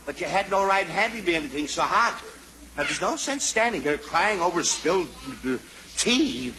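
A man's voice: high-pitched, sing-song, moderate pace. A man playing a grumpy, long-suffering middle-aged father who sputters and sighs with exasperation in an old-time radio comedy. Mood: flustered. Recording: noisy old radio recording.

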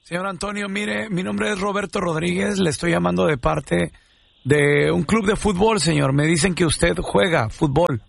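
A man's voice: sits high, normal speed, vocal fry, no echo. A man with a Mexican accent making a prank phone call, teasing and persuading in a mock-earnest way. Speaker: radio show prank caller.

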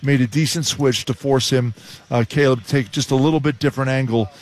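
A man's voice: medium pitch, fairly even intonation, fairly quick, darkish tone. An older man giving rambling postgame basketball analysis and restarting his sentences often.

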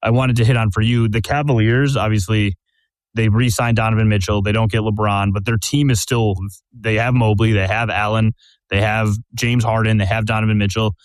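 A man's voice: on the low side, flat delivery, fairly quick, dry recording, low-quality recording. A man hosting a casual sports podcast, talking in a conversational way, full of fillers, and steering the talk with questions. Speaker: podcast host. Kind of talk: casual chat.

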